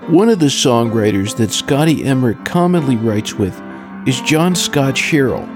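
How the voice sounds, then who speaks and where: A man's voice: medium pitch, very animated, moderate pace, over music. A man hosting a radio interview show, speaking in a polite, announcer-like way with scripted introductions.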